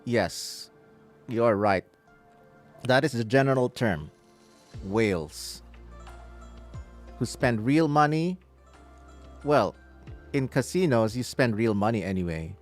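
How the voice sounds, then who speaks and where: Slow, animated man livestreaming. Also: medium pitch. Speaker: live game streamer. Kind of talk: casual livestream commentary, chatting with viewers. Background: game music.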